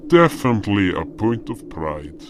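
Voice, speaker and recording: A man playing a gentle, proud, hulking beast guardian who tells his own story deliberately in a fantasy audio drama. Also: fairly low pitch, very animated. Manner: slow, deliberate storytelling with hesitations. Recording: noticeable background noise.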